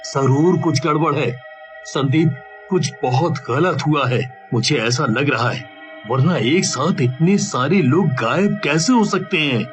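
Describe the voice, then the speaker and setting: Man voicing an earnest, high-pitched young cartoon villager. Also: unhurried, soft background music, close to an everyday voice. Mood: suspicious, worried. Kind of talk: animated cartoon story dialogue.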